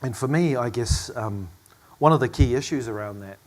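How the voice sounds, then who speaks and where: A hoarse man in middle age chairs a panel, his voice worn thin by a cough. He speaks reflectively and at a measured pace, at an ordinary middle pitch for a man. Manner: reflective, measured, with soft asides and small laughs. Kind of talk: panel discussion remarks.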